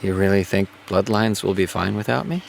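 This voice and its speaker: An actor playing a brooding male TV showrunner in an audio drama, halting and understated as he voices his doubts. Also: sits low, fairly even intonation, creaky, slight background noise. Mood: conflicted, earnest. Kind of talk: naturalistic audio drama dialogue.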